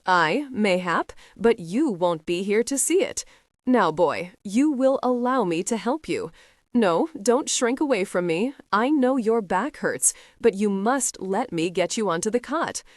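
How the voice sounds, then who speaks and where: A woman reading a stern male slave, blunt and measured. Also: medium pitch, animated, no echo, studio quality, lightly acted. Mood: firm.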